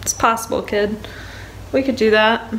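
A woman's voice: fairly high pitch, fairly even intonation, moderate pace, loud music behind the voice, poor audio. A young American woman chatting casually to her phone camera as a vlogger. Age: young adult. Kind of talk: casual vlog chat to camera. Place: home kitchen, later inside a moving car. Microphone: phone at arm's length.

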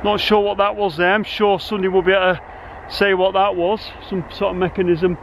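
A middle-aged man with a fairly high voice, talking excitedly and rambling with lots of exclamations. He holds the camera himself outdoors, with a faint hiss of outdoor noise behind him. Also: a little fast, highs cut off. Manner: enthusiastic, rambling, full of exclamations. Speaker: urban explorer vlogger. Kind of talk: casual exploring vlog with narration. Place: outdoors inside a huge concrete cooling tower. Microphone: camera mic, handheld selfie framing.

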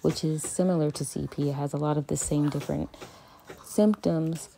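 A woman in her thirties, a parent vlogging home therapy with her child, speaking in a calm, monotone, matter-of-fact way from a phone camera in a small playroom. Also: deep voice, normal speed, creaky. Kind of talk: home therapy vlog, talking while working with her son. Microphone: phone camera on a stand a few feet away.

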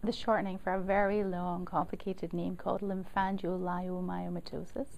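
A middle-aged woman, a lung doctor, explaining a rare disease in a measured, clear way during an interview recorded in her office. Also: on the low side, level tone, a little mellow, slight background noise. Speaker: pulmonary physician. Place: office indoors.

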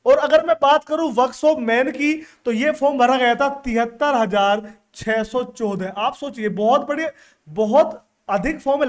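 A man. He explains things like a coaching teacher at the board, stressing and repeating the key points. He speaks into a headset mic. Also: extremely high pitch. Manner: emphatic, repeats key phrases. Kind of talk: explanatory lecture to camera. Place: indoor classroom studio in front of a digital board.

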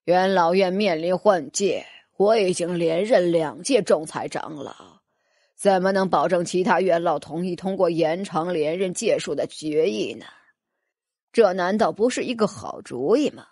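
A woman voicing a sinister, gloating old man, a council elder in a fantasy audiobook, husky and breathy, with sly mock politeness. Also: lowish voice, unhurried, darkish tone, dry recording, clean studio sound, pitched below the performer's own voice. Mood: smug.

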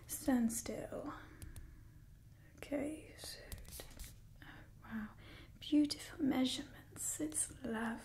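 A young woman performing a whispered ASMR roleplay as an imperious, doting old vampire clan overseer, breathy and theatrical. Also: fairly high pitch, mellow, a little hiss or hum. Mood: appraising, pleased. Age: young adult.

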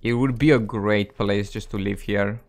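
A man's voice: on the low side, fairly even intonation, moderate pace. A man with a tired, cracking voice giving casual, chatty commentary over his survival gameplay, joking at his own mistakes and talking to viewers. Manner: chatty, self-deprecating, talks to viewers. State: voice cracking, going hoarse. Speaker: gaming channel host.

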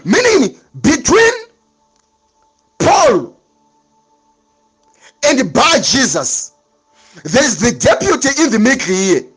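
A man's voice: extremely high pitch, huge pitch swings, slow pace, pauses often, fairly bright tone, slightly rough, faint music behind. A man preaching a sermon, emphatic and repetitive, with dramatic swings in delivery.

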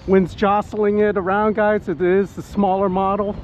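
High-voiced man, excitable hobby pilot outdoors. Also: moderate pace. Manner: excitable, whooping, talking to viewers while piloting. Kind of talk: casual live flight commentary. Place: outdoors at a flying spot in wind and rain. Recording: muffled outdoor audio with background noise.

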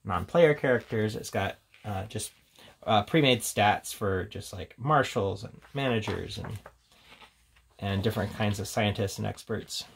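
Low, gravelly man reviewing casually. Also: a little slow. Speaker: tabletop game reviewer. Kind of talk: casual unscripted product walkthrough. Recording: steady background noise, handling clicks.

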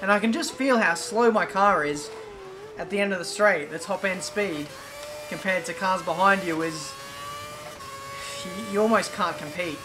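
A man with a British accent talking over his racing game in a chatty, excitable play-by-play, his voice a little croaky. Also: high voice, moderate pace, background noise. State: slightly croaky. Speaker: gaming YouTuber.

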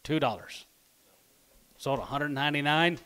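A man's voice: a little high, moderate pace, bright, forceful tone. An American man working as a livestock auctioneer, calling prices in a repetitive, rhythmic chant at an auction barn. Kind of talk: rapid auction chant and sale calls. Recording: livestream with clear background noise and clicks.

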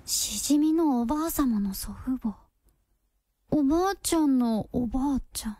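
A woman's voice: high-pitched, lively intonation, moderate pace, dark tone, no echo, studio quality. An actress playing an earnest, polite and hesitant young woman in a radio drama. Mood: surprised. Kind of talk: Japanese radio drama acting.

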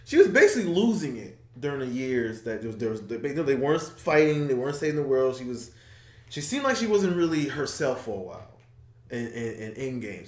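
A young man hosting a casual movie reaction chat, excitable and animated, sometimes trailing off into low mumbles, recorded on a webcam in a small room. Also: echoey room. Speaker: reaction video host. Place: small room indoors, seated.

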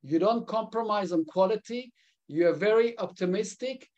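Man in his fifties, South African-Israeli accent, fairly high voice, earnest webinar talk, video-call sound. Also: normal speed, a little mellow, dull audio. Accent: South African and Israeli English. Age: 50s. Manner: earnest, with frequent restarts and fillers. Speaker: webinar speaker. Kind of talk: live webinar presentation.

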